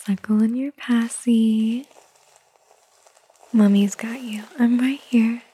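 A woman doing a whispered ASMR roleplay, talking softly and soothingly in a gentle whisper. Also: a little high, fairly even intonation, slow, breathy edge. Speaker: ASMR performer.

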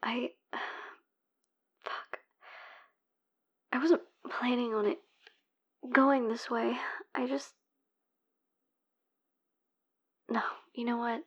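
A woman's voice: fairly high pitch, fairly even intonation, slow pace, pauses often, highs cut off. A woman whispering as a heartbroken ex begging. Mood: soft pleading. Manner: hushed, pleading, swears in frustration. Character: heartbroken young woman begging her ex back. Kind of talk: whispered audio roleplay voicemail.

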